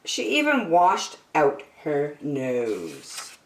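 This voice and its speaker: Slow, very animated woman reading aloud. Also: low voice, recorded from a distance, slight background noise. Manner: expressive, with deliberate emphasis. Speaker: children's story-time reader. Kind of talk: animated picture-book read-aloud. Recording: echoey, roomy sound.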